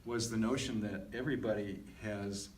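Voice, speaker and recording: A middle-aged man talking casually and reflectively as a podcast co-host, halting and self-deprecating. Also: on the low side, fairly even intonation. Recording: echoey, distant mic with faint noise.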